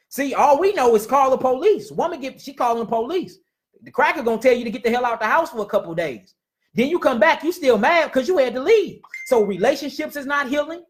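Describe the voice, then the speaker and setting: A young man, preaching passionately in slangy street talk and firing off rhetorical questions and repeated refrains. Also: very high voice. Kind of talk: impassioned preaching to a crowd.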